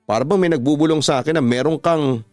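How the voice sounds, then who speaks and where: A man's voice: a little high, quick pace, darkish tone, no room sound, clean studio sound, soft background music, natural voice. A Filipino man voicing a hurt, suspicious boyfriend in a radio drama, reproachful and agitated.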